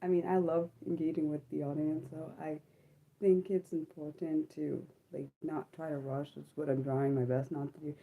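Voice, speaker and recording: A woman in her thirties talks slowly through a personal livestream from home, her voice low and mellow. She pauses often, fills gaps with ums and keeps correcting herself with small apologies. Her voice has a slightly sick edge. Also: flat delivery, slight background noise, poor audio. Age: 30s. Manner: halting, many ums and apologetic self-corrections. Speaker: livestream host. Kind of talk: personal reflective livestream talk.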